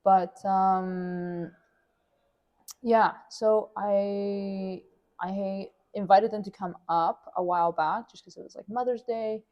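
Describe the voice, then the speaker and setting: A woman's voice: mid-range voice, fairly even intonation, lo-fi sound. A young woman from Mexico, a guest in a casual interview, talking chattily with lots of fillers and restarts. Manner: chatty, many fillers and restarts, quick to laugh.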